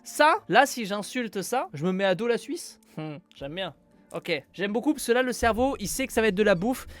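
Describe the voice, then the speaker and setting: A man streaming live, chatting casually as he unboxes a package, with loud exclamations and casual swearing. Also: high voice. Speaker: streamer opening a viewer's package.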